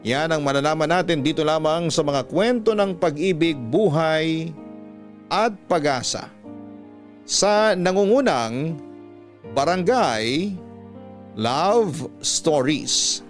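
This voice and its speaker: A man with a Filipino accent narrating scripted, emotional stories in a classic radio style, measured and heartfelt with dramatic pauses. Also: a little high, expressive. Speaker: radio storyteller and host. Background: soft background music.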